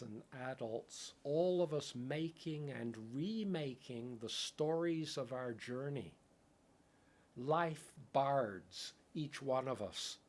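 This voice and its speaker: A man teaching in a contemplative dharma talk. He speaks in poetic phrases and often checks in with "right?". English is his first language. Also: medium pitch. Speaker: Buddhist meditation teacher.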